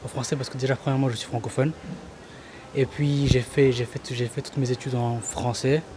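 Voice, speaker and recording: A man's voice: mid-range voice, flat delivery, brisk, noisy background. A man student speaking in a firm, plain way.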